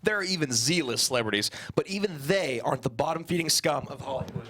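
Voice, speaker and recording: A bombastic male trailer announcer played by a man at a table read, bright and forceful. He sells a trashy reality TV show with sneering hype and open scorn. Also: fairly high pitch, lively intonation, fast talker. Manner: scornful, hyped-up promo delivery. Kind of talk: reality TV promo narration.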